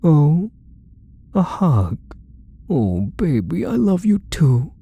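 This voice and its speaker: A man's voice: a little high, very animated, slow, vocal fry, mellow, husky, highs cut off, full character voice. A man playing a possessive, doting kidnapper husband in an intimate ASMR roleplay, cooing and coaxing with pet names. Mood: tender, cooing. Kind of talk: ASMR roleplay audio drama, intimate monologue to the listener.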